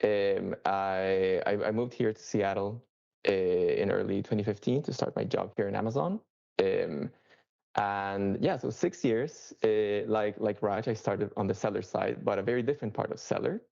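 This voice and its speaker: A low-voiced man with a Chilean Spanish accent, speaking English as a second language. His tone is gravelly and mellow, full of fillers and restarts. The audio sounds muffled and lo-fi. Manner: halting, many fillers and restarts. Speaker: software engineer speaker. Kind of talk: casual work talk.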